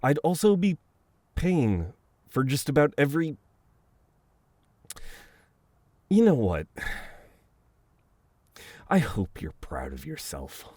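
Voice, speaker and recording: A man playing a flustered, teasing, protective best friend in an intimate, conversational audio roleplay, with halting banter. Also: mid-range voice, wildly swooping pitch, a little mellow, dry recording. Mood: flustered, exasperated.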